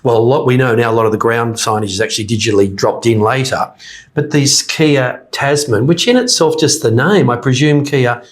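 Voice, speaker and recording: A man's voice: mid-range voice, a little fast. A man hosting a podcast, chatting and putting questions in a casual conversational way. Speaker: podcast host.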